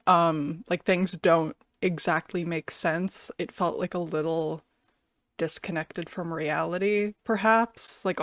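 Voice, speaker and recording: A woman's voice: fairly low pitch, no room sound. A woman giving a casual, thoughtful music reaction and review, feeling her way through her impressions with a hesitant delivery.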